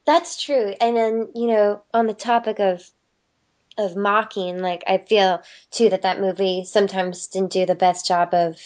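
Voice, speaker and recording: A woman's voice: medium pitch, fairly even intonation, a little slow, darkish tone. A woman being interviewed as a guest, talking in a thoughtful, friendly way and laughing often. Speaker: voice actress guest. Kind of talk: casual interview chat.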